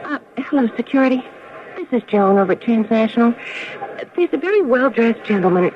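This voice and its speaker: Brisk female clerk, noisy broadcast. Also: on the high side, sing-song. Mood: concerned, brisk. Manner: businesslike, questioning. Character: brisk, practical female clerk dealing with a customer. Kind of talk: radio drama acting. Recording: muffled, noisy old radio broadcast.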